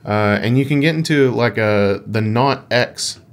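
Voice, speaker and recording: A man's voice: mid-range voice, normal speed. A man co-hosting a casual gaming podcast, with a playful, dryly teasing way of talking. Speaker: podcast co-host.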